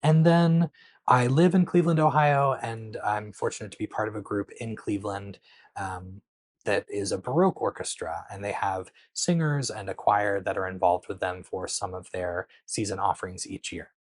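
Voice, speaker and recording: Male craft host, low-pitched, casual Q&A to camera, dry close clip-on mic. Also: normal speed, no echo. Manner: conversational, explains patiently with frequent um and so. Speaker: knitting channel host.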